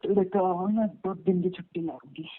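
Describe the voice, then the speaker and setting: A man's voice: high-pitched, fairly even intonation, normal speed. A man with a Haryanvi accent in an informal domestic argument on a phone call, argumentative and repeating himself. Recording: muffled narrowband phone call recording.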